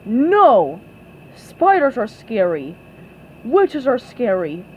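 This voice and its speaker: A woman voicing an angry, menacing male cartoon ghost pirate in a booming, emphatic voice. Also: sing-song, very slow, exaggerated voice. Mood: angry, boastful. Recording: dull lo-fi, faint background noise.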